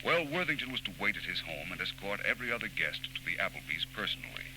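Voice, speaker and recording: Through heavy hiss and hum of an old radio recording comes a very low-voiced private detective. He is suave and sure of himself, laying out his reasoning with precise, cool confidence in 1940s radio style. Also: sing-song. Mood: smug, explanatory. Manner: precise, unhurried, coolly confident explanations. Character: suave, self-assured male private detective explaining his case.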